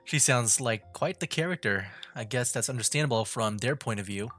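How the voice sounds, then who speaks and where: A woman's voice: very deep voice, lively intonation, fairly quick, a bit bright, faint music behind. A woman streaming a game with casual, wry, slangy reactions in a laid-back manner.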